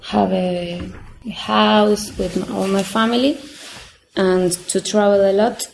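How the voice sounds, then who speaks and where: A woman's voice: medium pitch, steady tone, slow, vocal fry, echoey room, slight background noise, rough recording. A woman, a student speaking simple English with fillers. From Argentina. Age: 20s. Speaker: language student. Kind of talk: casual podcast interview.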